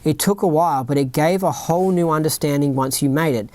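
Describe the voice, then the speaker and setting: Australian-accented podcast host, mid pitch, dry close mic, reads a script then drifts into chatty asides. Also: fairly quick, darkish tone, no room sound. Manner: rambling, keeps asking "right?" and "you know what I mean?". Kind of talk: reading a written account aloud with off-script asides.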